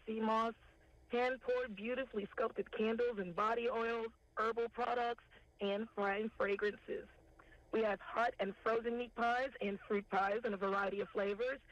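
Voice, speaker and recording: Woman on a tinny phone line. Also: mid-range voice. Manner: steady, unhurried listing. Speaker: farmers market director. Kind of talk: phone interview, listing products. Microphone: telephone.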